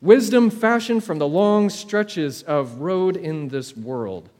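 A high-voiced man delivers a sermon in a space with long, ringing reverb. He preaches like a storyteller, measured and deliberate. Dramatic pauses and repeated phrases shape his delivery. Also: animated, darkish tone.

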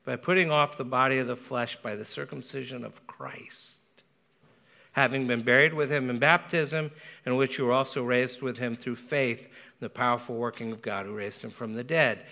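A man preaching a sermon as pastor, speaking earnestly with a Spanish accent and slowing down to stress key words. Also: medium pitch, fairly even intonation, gravelly, bright, forceful tone. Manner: earnest, measured preaching with emphatic slow stresses.

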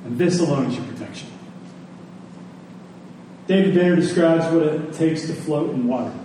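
A man's voice: fairly high pitch, normal speed, dark tone. A man preaching a church sermon as a pastor, emphatic and repeating phrases for weight, with conversational asides and rhetorical questions. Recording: echoey room, distant mic, faint noise.

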